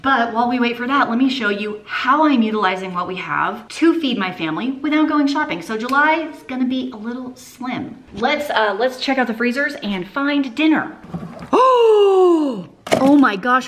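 A woman's voice: mid-range voice, noisy background. A woman in her thirties vlogging from her home kitchen, talking casually to a handheld camera as she walks through her groceries and prices. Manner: chatty, upbeat, walks through items and prices. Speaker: family vlogger. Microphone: handheld camera, held close.

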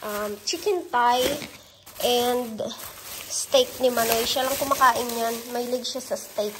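A woman's voice: fairly high pitch, slow, background noise. A woman in her thirties with a Filipino accent, chatting casually and playfully to her vlog followers, recorded on a handheld phone at home. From Philippines. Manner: chatty, informal, playful asides. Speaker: vlogger. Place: indoors at home, kitchen and living area. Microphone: phone at arm's length.

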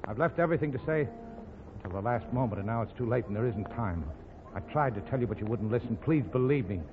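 A man's voice: medium pitch, lively intonation, fast, background noise, natural voice. Man acting a doomed romantic fugitive, tinny old radio. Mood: urgent, pleading. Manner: earnest, romantic, melodramatic. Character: doomed fugitive in love, tender and resolute. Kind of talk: 1940s radio drama acting.

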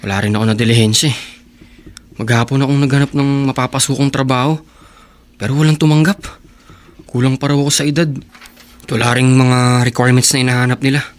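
A man's voice: mid-range voice, steady tone, brisk, pauses often, slight background noise, lightly acted. A man playing a poor, earnest teenage boy devoted to his girlfriend in a radio drama. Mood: weary. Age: teens. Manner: earnest and reassuring. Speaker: young lover.

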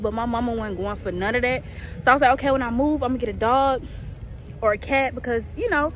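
A woman in her early twenties chatting casually to her phone camera in a vlog, slangy and excitable, squealing high when thrilled. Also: a little hiss or hum. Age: early 20s. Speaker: vlogger. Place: apartment rooms, then parked car and outdoor parking lot. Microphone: phone held at arm's length.

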